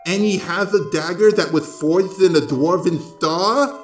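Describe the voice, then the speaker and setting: A man's voice: high-pitched, measured pace. A man voicing a gushing five-year-old child who boastfully lists a hero's feats in a video parody. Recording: roomy echo, music behind.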